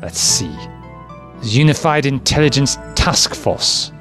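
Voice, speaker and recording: An actor playing a suspicious, contemptuous German army sergeant who barks curt commands in an audio drama. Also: male voice, mid-range voice, expressive, takes it slowly. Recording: music under the voice.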